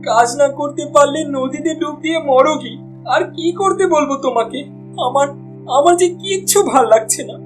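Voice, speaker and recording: A woman playing the scolding, fed-up wife of a poor fisherman in a cartoon, nagging with a sharp tongue. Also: sits high, moderate pace, lightly acted. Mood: angry, nagging. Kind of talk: cartoon voice acting. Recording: background music under the voice.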